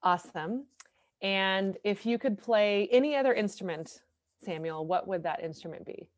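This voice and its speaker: A woman's voice: mid-range voice, moderate pace. A woman hosting a podcast interview, upbeat and encouraging toward her guests. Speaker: podcast host.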